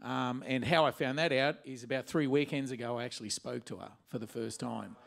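A man's voice: medium pitch, fairly even intonation, fairly quick, creaky, fairly bright tone. A man who is a father, speaking as a guest at a church service, chatty and jokey with lots of ums. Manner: chatty and jokey, full of fillers. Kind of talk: personal testimony.

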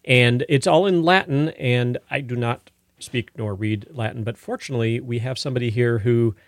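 A man's voice: medium pitch, moderate pace, dry recording, clean studio sound. A man hosting a radio music show, chatting casually as he announces songs.

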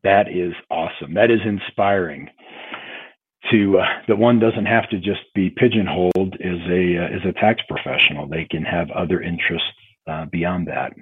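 A man hosting a conversational podcast interview, speaking in a halting way and often restarting his phrases. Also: low voice, slightly rough. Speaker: podcast host. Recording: tinny phone-like line.

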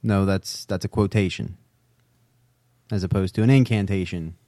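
A man chatting casually as a podcast co-host, with a dry, deadpan and learned wit. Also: low voice, moderate pace, no echo. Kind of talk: casual chat.

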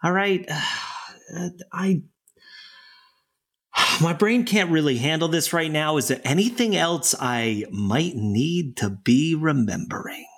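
Guy doing a hungover grumpy boyfriend in an audio drama, slow and husky, voice swings up and down a lot. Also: a little high, expressive, a bit bright, heavily acted. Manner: groggy, defensive, sarcastic. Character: hungover irritable young boyfriend.

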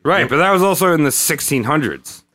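A man's voice: fairly high pitch, expressive, fairly quick, bright, forceful tone. A man co-hosting a podcast, chatting casually with jokes, swearing and lots of fillers. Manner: joking, swearing, filler-heavy. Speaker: podcast co-host. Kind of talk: casual chat.

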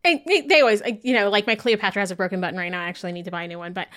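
A woman's voice: medium pitch, very fast, a bit bright. A woman hosting a podcast, chatting in an upbeat way with many fillers. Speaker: podcast host. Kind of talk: casual chat.

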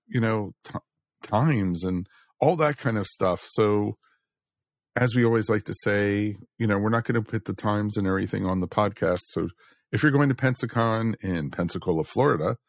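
A man's voice: on the low side, dry recording, studio quality. An American man hosting a podcast in a casual, chatty style. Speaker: podcast host.

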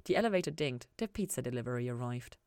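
A woman's voice: a bass voice, expressive, quick pace, a little mellow, no room sound, clean studio sound. A woman reading scripted fiction aloud as a narrator. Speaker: fan fiction reader, podcast host.

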